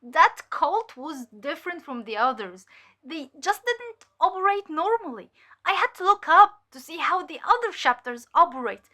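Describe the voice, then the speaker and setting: A woman playing a fearful, guilt-ridden cult infiltrator giving halting, emotional testimony in an audio drama. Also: extremely high pitch, bright, forceful tone, heavily acted. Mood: uneasy, urgent.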